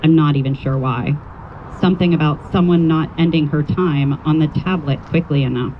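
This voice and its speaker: A man reading a first-person account aloud at a rally in a plain, measured way. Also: fairly high pitch. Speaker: rally speaker reading a testimony. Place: outdoor rally near a road. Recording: dull lo-fi audio, faint vehicle noise.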